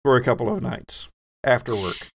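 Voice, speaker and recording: A man's voice: mid-range voice, moderate pace, creaky, slightly rough. A man hosting and running a tabletop roleplaying game, talking conversationally and guiding players with questions. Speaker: game master and podcast host.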